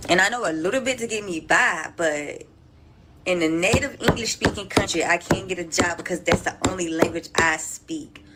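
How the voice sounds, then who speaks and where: A woman's voice: on the low side, normal speed. Frustrated woman in a noisy clip. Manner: frustrated, pointed complaint. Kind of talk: social media complaint video.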